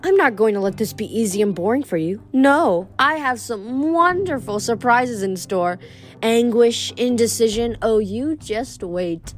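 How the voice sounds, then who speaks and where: A woman's voice: a little high, animated, soft background music. A woman voicing a vain, gushing goddess of love who drawls and coos dramatically. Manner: breathy, drawn-out, dramatic gushing.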